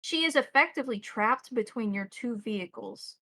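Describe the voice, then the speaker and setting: A woman's voice: fairly high pitch, expressive, moderate pace, bright, forceful tone, no echo. A woman acting as game master, explaining and describing scenes in detail for a tabletop role-playing game.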